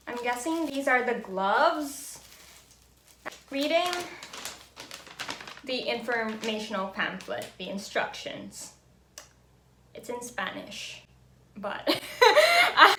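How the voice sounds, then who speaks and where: A woman's voice: fairly high pitch, sharp tone, echoey room. A young woman from the Philippines, a native speaker of Tagalog and English, chatting casually and thinking aloud as she vlogs at home, with frequent little laughs. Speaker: beauty vlogger. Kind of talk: casual vlog narration.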